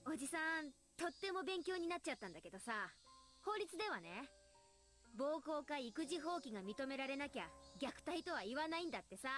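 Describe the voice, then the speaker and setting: Blunt, fast-talking teenage anime girl. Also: high voice, very animated. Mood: bitter, grim. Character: frank, exasperated teenage girl explaining grimly. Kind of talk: Japanese visual novel voice acting. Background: game music.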